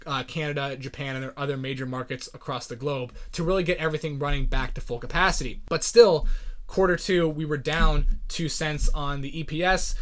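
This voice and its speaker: A man hosting a podcast, giving informal, explanatory commentary on business and finance. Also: a little high. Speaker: podcast host. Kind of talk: earnings call breakdown commentary.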